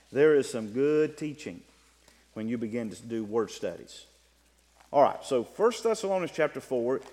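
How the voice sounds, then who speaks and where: A man preaching a sermon, teaching from the Bible in an emphatic way that swings between loud and soft. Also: medium pitch. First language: English. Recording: long reverb of a hall.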